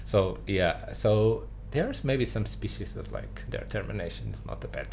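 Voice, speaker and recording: A man co-hosting a podcast in a studio, chatting casually with chuckles and halting fillers. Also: lowish voice, big pitch swings, vocal fry, darkish tone, a little hiss or hum. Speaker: podcast co-host. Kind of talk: casual chat.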